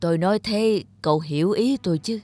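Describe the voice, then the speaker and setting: Woman voicing a shrewd, measured male cartoon boss. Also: fairly low pitch, a little mellow, soft background music, lightly acted. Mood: firm, serious. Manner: measured, authoritative, insinuating. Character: shrewd, calculating male factory director testing his staff. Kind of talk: cartoon voice acting.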